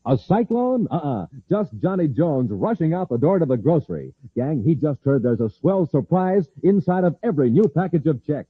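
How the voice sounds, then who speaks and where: A man's voice: fairly high pitch, very animated, moderate pace, hardly any pauses, breathy edge, no echo. A male radio announcer with a dramatic, theatrical delivery in the style of a 1950s adventure serial. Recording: dull, noisy old radio transcription.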